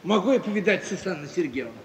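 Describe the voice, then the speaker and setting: An actor playing a genial, affectionate uncle, theatrical and playfully conspiratorial, in a 1950s Russian radio stage comedy. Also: male voice, sits high, expressive, fast talker. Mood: businesslike. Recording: echoey, faintly noisy 1950s radio recording.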